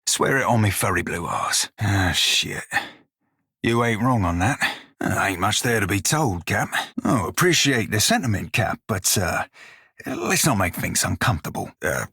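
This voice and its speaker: Gruff pirate creature, lowish husky voice, wry, foul-mouthed, salty sailor dialect, video game dialogue. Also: male voice, expressive, normal speed, pushed and bright, dry recording, studio quality, full character voice. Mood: guarded, sincere. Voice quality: husky, rough-edged. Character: gruff, foul-mouthed furry blue male pirate shipmate.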